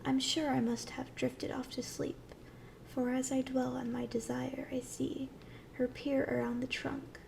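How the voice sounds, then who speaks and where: Woman as a slow, breathy, high-voiced willow dryad. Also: completely flat delivery, slight background noise, heavily acted, rough recording. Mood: dreamy, tender. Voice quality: soft, breathy, often near whisper. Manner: soothing, tender, unhurried. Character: gentle, ancient female willow tree dryad. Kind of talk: soft ASMR fantasy roleplay.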